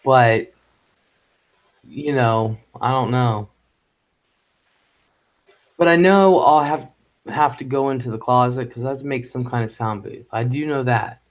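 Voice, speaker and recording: A man at mid pitch, musing out loud on a home microphone as he hosts a relaxed live chat. He wanders through his thoughts with frequent fillers and restarts. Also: rough recording. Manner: halting and rambling, with many fillers. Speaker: live stream host.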